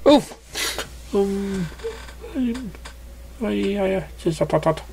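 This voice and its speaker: A man's voice: sits high, steady tone, very slow, vocal fry, noisy background, voice pushed up, heavily acted. A man voicing a timid, nervous female cartoon pony who stammers and trails off.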